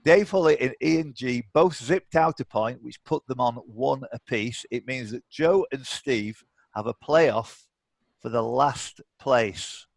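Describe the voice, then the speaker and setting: A man runs a live quiz over a video call. He talks off the cuff with teasing banter, at a middling pitch. The sound is dry, with no room around it. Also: slow pace, vocal fry, no room sound. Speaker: quiz host. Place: indoors, online video call.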